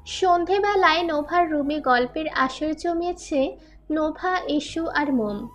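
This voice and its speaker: A woman's voice: sits high, unhurried. A woman narrating a scripted romantic audio story. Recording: music under the voice.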